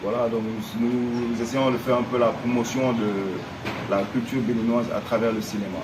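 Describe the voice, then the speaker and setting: A man who grew up in Senegal answers interview questions in an earnest, halting way, filling his pauses with hesitations. He sounds distant from the microphone. A clear, steady background hum runs underneath him. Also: medium pitch. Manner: hesitant, many fillers, earnest. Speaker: guest. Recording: distant mic with steady noise.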